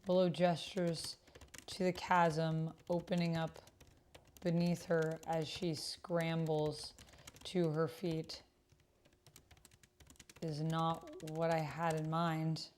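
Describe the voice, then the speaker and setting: Female streamer, lowish voice, casual and unscripted, thinking out loud while playing a text-based roleplay game. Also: monotone, slow pace, long pauses, a little hiss or hum. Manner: thinks aloud while reading and typing. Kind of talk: casual livestream chat while reading out typed roleplay. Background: computer keyboard typing.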